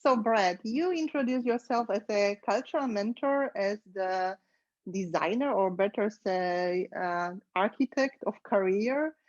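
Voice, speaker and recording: A woman hosting a podcast interview, enthusiastic and deliberate as she introduces her guest. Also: mid-range voice, no echo, lo-fi sound. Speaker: podcast host.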